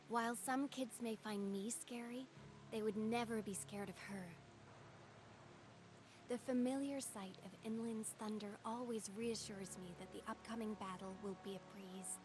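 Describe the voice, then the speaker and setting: A woman voicing an earnest young female swordswoman in a game, soft-spoken and sincere. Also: mid-range voice, noisy background, close to an everyday voice. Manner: earnest and soft-spoken, proud battle cries. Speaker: game character. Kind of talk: game character voice lines.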